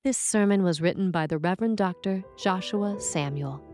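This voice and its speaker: A woman reading a scripted sermon as a preacher, with measured, deliberate phrasing. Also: fairly low pitch, darkish tone, dry recording, soft background music.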